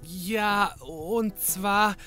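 An actor playing an eager, dry-witted young assistant to a detective in a comedy audio drama, with a nagging, excitable manner. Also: male voice, sits high, extremely slow, faint music behind, heavily acted. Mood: sheepish.

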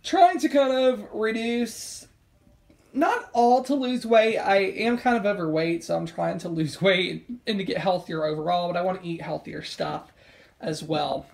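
A woman talking in a casual, rambling, informal way as a vlogger, with a creaky voice, recorded indoors at home on a handheld camera. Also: on the low side, a little slow, darkish tone. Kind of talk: casual haul vlog chat. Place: indoors at home, over a table of groceries.

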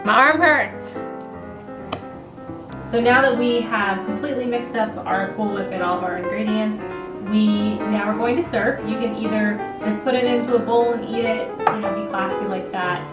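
A woman's voice: mid-range voice, steady tone, normal speed, muffled recording. Roomy-sounding American woman, casual cooking host. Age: young adult. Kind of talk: casual recipe demonstration. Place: home kitchen, indoors. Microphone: camera a few steps away. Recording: roomy, distant mic, loud music behind.

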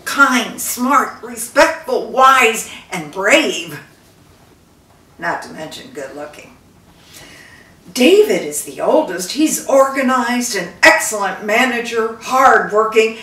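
A woman's voice: mid-range voice, a bit bright. A woman voicing a dying old king, a fond and solemn father in a storybook, speaking emphatically. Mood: earnest. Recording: roomy echo, distant microphone.

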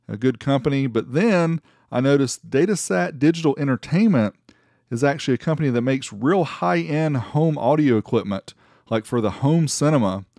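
A man talks through a product review in a folksy, conversational voice-over. His pitch sits in the middle of the male range, and the sound is dry, with very little room in it. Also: vocal fry, a little mellow, no room sound. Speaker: host of an amplifier review channel.

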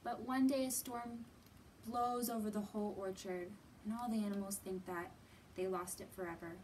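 A woman's voice: mid-range voice, fairly even intonation, moderate pace, a little mellow, slight background noise, poor audio. A woman in her thirties hosting a children's storytime, reading and talking expressively to young listeners as a librarian. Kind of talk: picture book read-aloud and storytime talk for young children.

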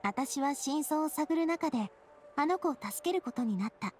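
A woman's voice: high voice, animated, moderate pace, darkish tone, slight background noise. Spirited, elegant young lady boss. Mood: gentle, reflective. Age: young adult. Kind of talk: Japanese anime game voice acting.

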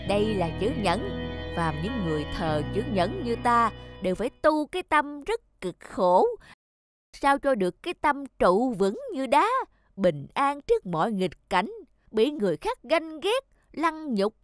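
Woman acting a pompous, high-pitched village show-off man. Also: sing-song, measured pace, dry recording, clean studio sound. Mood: boastful. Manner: boastful, blustering, scolding. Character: pompous, short-tempered fat male village show-off. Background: music.

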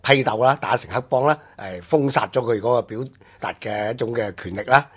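A man's voice: mid-range voice, a little fast, breathy edge. A man with a Hong Kong Cantonese accent co-hosting a history discussion, explaining in conversational, list-like phrases. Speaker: radio co-host.

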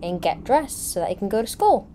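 Woman doing a patient but firm roleplay mom, matter-of-fact, soft mellow tone, noisy recording. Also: mid-range voice, very animated, fairly quick, natural voice. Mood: firm, exasperated. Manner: matter-of-fact, gently scolding. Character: firm, patient mother getting kids ready for school. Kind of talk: improvised family roleplay in a video game.

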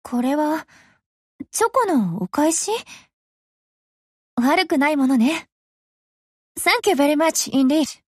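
A woman's voice: high voice, animated, slow pace, pauses often, no room sound, clean studio sound. A woman voicing a cool, composed foreign warship character in an anime game, formal and reserved. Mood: cool, approving.

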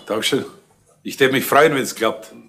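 A man's voice: medium pitch, moderate pace, pushed and bright, natural voice. A man playing a shrewd, grumbling police inspector in a radio crime play, folksy and dry, with a Bavarian accent. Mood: ingratiating, aggrieved. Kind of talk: 1970s German radio play acting.

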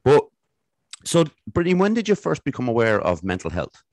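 A man's voice: medium pitch, wildly swooping pitch, fairly quick, a little mellow, no echo. A man with an Irish accent hosting a casual podcast interview, talking in long rambling turns into a studio mic. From Ireland. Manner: rambling, many fillers and restarts. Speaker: podcast host. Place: home room. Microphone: studio mic with headphones.

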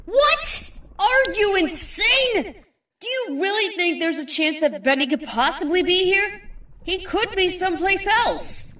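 Fierce young sister female amateur actor, piercing, extremely high, confrontational, roomy echo. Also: expressive, unhurried, sharp tone, exaggerated voice. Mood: indignant. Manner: shrill, confrontational. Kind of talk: amateur comic dub acting. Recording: echoey room, distant from mic.

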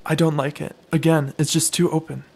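A man's voice: fairly high pitch, flat delivery, a little fast, vocal fry, darkish tone, breathy edge. A man playing a skeptical, wary Roman brother in an audio drama, with a questioning manner.

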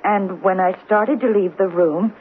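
A woman's voice: medium pitch, measured pace, lightly acted. A woman playing an earnest, easily flustered secretary in a 1970s radio drama, proper and hesitant. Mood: uneasy. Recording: tinny, noisy old radio recording.

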